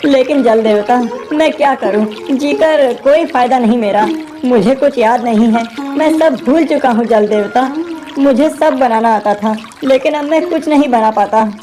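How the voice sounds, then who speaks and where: A woman's voice: a little high, measured pace, mellow, natural voice. Woman playing an earnest young male village cook. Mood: distressed. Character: humble young male dhaba cook, easily distressed. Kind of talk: animated moral story acting.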